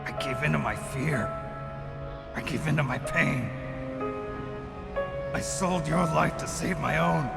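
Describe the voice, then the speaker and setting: An actor playing a battle-hardened, self-loathing soldier in a video game, with a gravelly, brooding, anguished delivery. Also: male voice, a little slow, long pauses. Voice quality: gravelly, husky low voice. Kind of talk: dramatic video game acting. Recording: dramatic music behind the voice.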